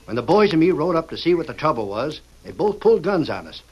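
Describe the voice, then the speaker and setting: An actor playing a smooth-lying cowpuncher outlaw with folksy cowboy grammar in an old-time radio western. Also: male voice, fairly high pitch, very animated, quick pace, lo-fi sound. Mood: folksy, deferential. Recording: noisy vintage radio recording.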